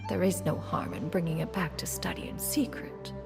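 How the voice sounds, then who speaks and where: A woman's voice: on the low side, moderate pace, creaky, loud music behind the voice. An actress voicing a proud, ancient female scholar in a video game, speaking in a formal, archaic, aloof way. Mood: brisk, haughty. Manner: formal, archaic, aloof speech, saying "one" for herself. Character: proud, haughty ancient female scholar-inventor posing as mortal. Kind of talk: scripted video game character dialogue.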